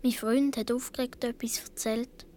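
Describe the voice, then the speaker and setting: A woman's voice: on the high side, fairly even intonation, normal speed, dark tone, natural voice. A woman playing a seven or eight year old Swiss boy, telling his story earnestly with a Swiss German accent in a radio play. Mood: wistful, recounting.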